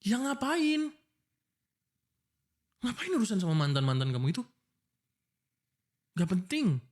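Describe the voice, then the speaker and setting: A man's voice: high-pitched, big pitch swings, measured pace, darkish tone. Frustrated young Indonesian man, pleading. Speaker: boyfriend in an audio roleplay. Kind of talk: ASMR voice-acted roleplay.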